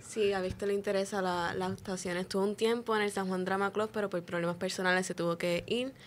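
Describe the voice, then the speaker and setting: A woman's voice: mid-range voice, steady tone, fast talker, slight background noise. A woman giving unscripted answers as a student producer in an interview.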